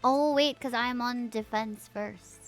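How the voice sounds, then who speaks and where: A young woman livestreams casual game commentary in a bubbly, expressive, playful way, chatting with viewers as she plays. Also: fairly high pitch, takes it slowly, faint music behind. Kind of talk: casual gameplay commentary with chat replies.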